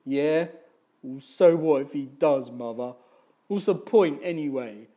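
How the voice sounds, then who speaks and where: A reader voicing a large, lumpy grown son who wails and whines in a loud, raised voice. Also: mid-range voice, a little slow, muffled recording, close to an everyday voice. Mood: dejected wailing. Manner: wailing, whiny.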